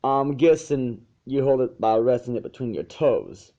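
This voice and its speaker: A man voicing a male farm pony in a story, steady and encouraging, with a slow drawl. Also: medium pitch, highs cut off. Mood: patient, approving. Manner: slow, measured, folksy drawl.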